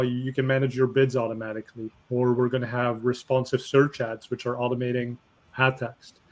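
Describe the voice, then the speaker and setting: A man hosting a marketing webinar, talking in an energetic, conversational way with fillers. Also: medium pitch. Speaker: host.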